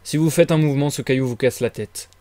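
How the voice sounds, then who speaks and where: A man's voice: mid-range voice, fast talker, mellow, no echo, natural voice. A man playing an invisible man in an audiobook, commanding and impatient, speaking in terse, insistent phrases. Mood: menacing. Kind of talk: dramatized audiobook reading.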